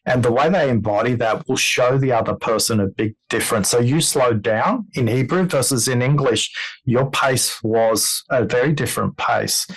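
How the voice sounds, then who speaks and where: A man hosting a podcast about listening, speaking in a deliberate, coaching way and drawing out insights for his audience. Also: mid-range voice, low-quality recording. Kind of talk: podcast conversation.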